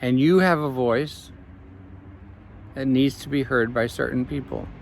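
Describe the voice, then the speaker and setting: A man, a life coach, speaking slowly and reflectively in an evening vlog recorded outdoors on a phone. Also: medium pitch, gravelly, a little hiss or hum. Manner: slow, measured, reflective.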